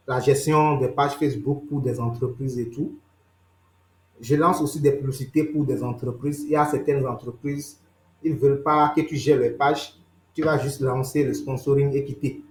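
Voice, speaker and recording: A man's voice: medium pitch, echoey room. A young man from Benin with a Beninese French accent, giving an online training session and checking in with his listeners as he explains. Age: young adult. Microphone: video call.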